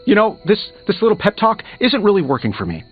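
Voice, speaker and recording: An actor playing a proud, guilt-ridden genius superhero in a video game, sarcastic, emphatic and hard on himself. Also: male voice, high-pitched, huge pitch swings, a little fast, husky, full character voice. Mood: cold, sarcastic. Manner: sarcastic, self-reproaching, emphatic. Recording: muffled game audio under music.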